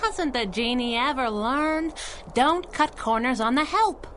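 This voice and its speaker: Cocky crime-fighting dame, fairly high, animated, teasing period radio banter. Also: female voice, unhurried, slight background noise. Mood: mocking, playful. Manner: teasing, wisecracking, 1930s slang. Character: sassy, cocky, taunting masked superheroine. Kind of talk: 1930s-style radio drama acting.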